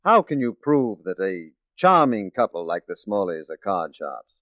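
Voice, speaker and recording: An actor playing a shrewd, determined police detective in a 1940s radio drama, speaking in a measured, persuasive way. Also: male voice, low voice, very animated, no echo. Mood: grave, resolute. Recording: muffled 1940s radio recording.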